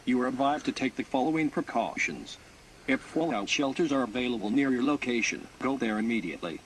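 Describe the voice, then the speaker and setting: A man's voice: mid-range voice, lively intonation, a little fast, natural voice. A man playing a solemn emergency broadcast announcer, reading scripted safety instructions in a formal manner. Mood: grave, official. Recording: noisy broadcast-style recording.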